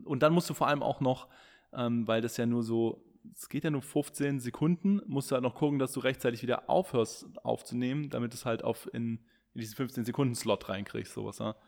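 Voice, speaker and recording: A man's voice: mid-range voice, a little mellow. A man co-hosting a podcast in casual chat, rambling with many fillers and restarts. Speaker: podcast co-host. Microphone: podcast microphone.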